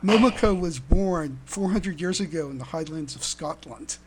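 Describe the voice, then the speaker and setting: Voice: medium pitch, normal speed. A podcast co-host chatting casually about fandom in a joking, sarcastic way. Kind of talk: casual fan podcast chat. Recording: hobby podcast with clear background noise.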